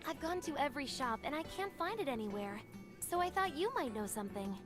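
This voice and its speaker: A woman's voice: high-pitched, expressive, a little fast. A woman voicing a composed, helpful young woman in an anime game, speaking politely. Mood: composed, concerned. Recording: game music behind the voice.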